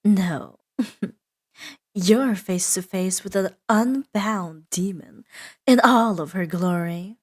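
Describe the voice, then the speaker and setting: Woman acting a slow, seductive, menacing demoness. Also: medium pitch. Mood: smug, amused. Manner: slow teasing delivery, drifting into breathy whispers. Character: seductive, menacing, playful female demon with imperious poise. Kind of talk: scripted roleplay ASMR audio drama.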